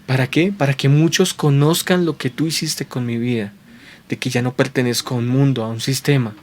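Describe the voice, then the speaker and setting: A man preaching with earnest conviction, his voice sitting at an ordinary middle pitch. He keeps checking in with his listeners through quick rhetorical questions and circles back over phrases as he builds each point. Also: steady tone, a little hiss or hum. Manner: repeats and restarts phrases while building points. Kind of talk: sermon to viewers at home.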